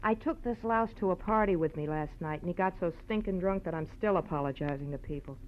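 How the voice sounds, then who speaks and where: A woman's voice: on the low side, fast, natural voice. An actress playing a brassy, flirtatious, wisecracking woman in 1940s radio noir style. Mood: sardonic, flirty. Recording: muffled tinny old radio recording, faint noise.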